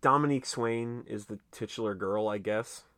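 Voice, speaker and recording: A man's voice: fairly low pitch, normal speed, a bit bright, no echo, studio quality. A man co-hosting a film podcast, chatting loosely and jokingly with plenty of filler words. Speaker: podcast co-host. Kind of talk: casual movie discussion. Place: quiet room, recorded late at night.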